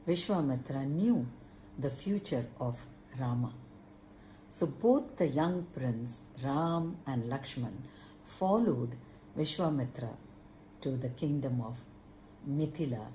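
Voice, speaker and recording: A woman tells an epic story from a script in a low voice. She takes her time, phrasing slowly and with emphasis. The tone is soft-edged and mellow. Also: slight background noise, rough recording. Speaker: storyteller, narrator.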